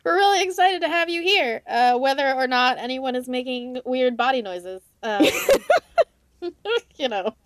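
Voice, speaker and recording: A woman's voice: sits high, measured pace, no echo. A woman speaking as a podcast host and interviewer. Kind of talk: scripted podcast introduction, then casual interview chat.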